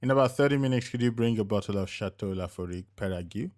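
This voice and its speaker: A man playing a devoted middle-aged husband in a story, speaking gently and earnestly. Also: lowish voice, fast, lightly acted. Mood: fond. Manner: gentle, soft murmuring.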